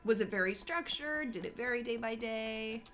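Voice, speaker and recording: A Canadian woman hosting a casual gaming video, joking and reacting quickly, her voice gravelly today. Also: a little high. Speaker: let's play host.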